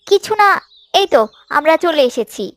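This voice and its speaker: High-voiced scheming cruel stepmother. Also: lively intonation, normal speed, a little hiss or hum. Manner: commanding, scolding. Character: greedy scheming cruel stepmother, bossy and gloating. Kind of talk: animated fairy-tale cartoon acting.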